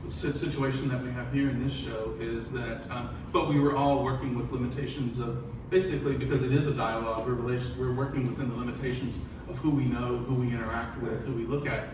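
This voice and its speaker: A middle-aged man talking loudly and with emphasis as an artist on a panel. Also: medium pitch, fast talker, few pauses. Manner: loud, emphatic, animated. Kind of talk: casual panel discussion. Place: large indoor gallery space. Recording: echoey gallery, distant mic, background noise.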